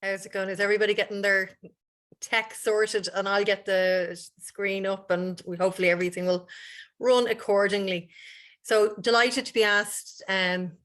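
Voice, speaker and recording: A woman, a university director, giving a conference talk over a video call, quick and loose, stringing long clauses together. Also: medium pitch, flat delivery, fairly bright tone. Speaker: university director presenting research. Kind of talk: conference presentation.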